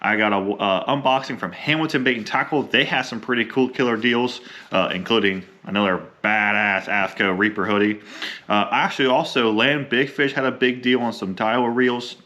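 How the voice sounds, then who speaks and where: A young adult man with a slightly hoarse voice from a cold, chatting casually and rambling with many ums while unboxing fishing gear. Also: medium pitch, moderate pace, sharp tone, dull audio. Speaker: fishing vlogger.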